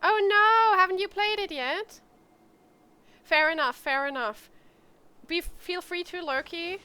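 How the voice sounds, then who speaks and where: A woman's voice: very high-pitched, a little slow, sharp tone. A woman streaming a game, chatting casually and reacting expressively and informally to what happens.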